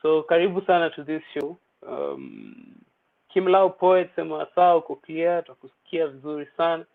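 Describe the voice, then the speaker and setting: A man's voice: fairly high pitch, big pitch swings, moderate pace, vocal fry. A young man hosting an online poetry open mic in a chatty, playful way, mixing English with Swahili slang. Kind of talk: casual livestream hosting. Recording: tinny phone-like audio.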